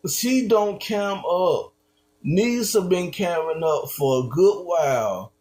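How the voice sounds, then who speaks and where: Male streamer, slow drawl, slightly high voice, slangy, incredulous commentary. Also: expressive, darkish tone. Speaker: streamer reacting to videos. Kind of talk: casual reaction commentary.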